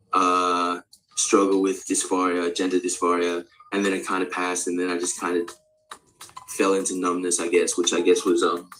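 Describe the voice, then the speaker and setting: A male speaker of around forty from Australia, practising an American accent in a rambling, halting, candid and sweary casual monologue. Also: sits low, bright, forceful tone, poor audio. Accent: American, put on over native Australian. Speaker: actor introducing themself.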